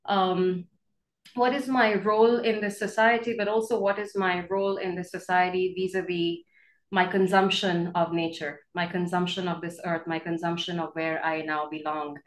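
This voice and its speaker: A young woman with an Indian accent giving a reflective, earnest informal talk, deliberate with pauses, on a video call from a bedroom. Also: lowish voice, little pitch movement. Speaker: invited speaker, professor and poet. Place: bedroom at home. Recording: roomy home video-call sound.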